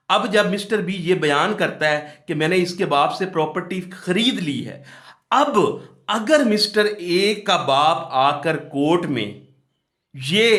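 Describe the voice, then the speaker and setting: Pakistani man, lecturer, unhurried delivery, slightly high pitch, explanatory, repeats key phrases. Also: fairly bright tone. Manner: explanatory, repeats phrases, switches between reading slowly and explaining. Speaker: law lecturer. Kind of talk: exam-focused lecture, reading statutes aloud and explaining with examples. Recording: echoey room.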